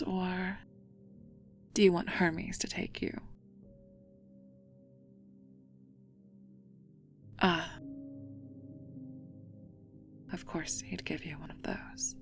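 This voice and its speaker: A woman acts out a regal goddess in an ASMR audio drama, playful yet wistful. Her voice sits low with a creaky edge, often sinking into a breathy whisper, and she muses in a slow, teasing way. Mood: grateful, tender. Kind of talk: intimate ASMR roleplay acting.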